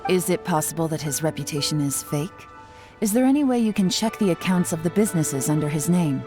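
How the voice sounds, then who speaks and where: A woman's voice: sits low, expressive, fairly quick, mellow, faint music behind. A woman playing a shrewd, commanding spymaster in a video game, composed and authoritative. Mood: cool, curt. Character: cool, shrewd, commanding adult female spymaster. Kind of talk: video game character dialogue.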